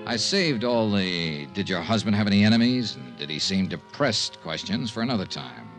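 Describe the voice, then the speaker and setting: An actor playing a shrewd, persistent insurance investigator in a 1950s radio drama, probing and wry. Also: male voice, on the low side, lively intonation, moderate pace, over music, close to an everyday voice. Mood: dry narration.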